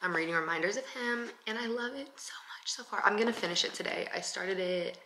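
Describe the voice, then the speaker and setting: A woman's voice: medium pitch, fairly quick, bright, forceful tone. A woman in her twenties chatting casually to camera in a daily vlog, upbeat and full of "like". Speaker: vlogger. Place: large bright home interior. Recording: roomy echo, faint noise.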